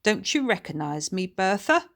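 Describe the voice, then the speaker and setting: A woman's voice: mid-range voice, big pitch swings, moderate pace, gravelly, fairly bright tone. A woman voicing the jovial, genteel ghost of a grandfather in a storybook, with courteous, old-fashioned phrasing. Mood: proud, genial.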